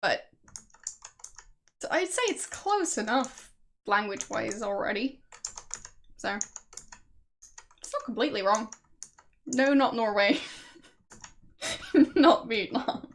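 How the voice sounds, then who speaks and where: Young Austrian woman streamer, unhurried, a bit high, chatty, laughs often. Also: lively intonation, slight background noise. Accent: Austrian German. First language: Austrian German. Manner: chatty, laughs often, answers chat guesses. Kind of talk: casual chat with stream viewers.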